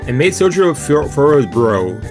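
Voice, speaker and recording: A man's voice: fairly high pitch, sing-song, fairly quick. Casual man streaming a visual novel read-aloud. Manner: casual asides, stumbles over long words. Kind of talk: let's play commentary and read-aloud narration. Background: video game music.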